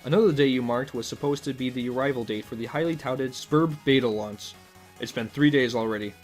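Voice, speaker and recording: A man's voice: medium pitch, fairly quick, faint music behind. A man reading scripted comic narration in the second person, with a wry, mock-formal manner.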